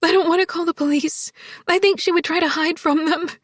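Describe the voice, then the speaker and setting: A woman's voice: extremely high pitch, steady tone, a little fast, no room sound, clean studio sound, voice pushed up. A woman voicing a desperate, frightened woman in an audiobook, shaky and pleading, with breathless, apologetic delivery. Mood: anxious, apologetic. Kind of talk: audiobook character dialogue.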